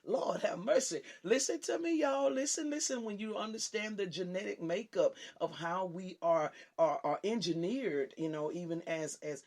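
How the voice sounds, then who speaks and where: A woman with a raspy voice that people on the phone mistake for a man's, preaching with fervour as host of her show and swinging from loud exclamations to hushed asides. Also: normal speed, few pauses. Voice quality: raspy, deep-sounding voice often taken for a man's on the phone. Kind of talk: impassioned preaching on a talk show.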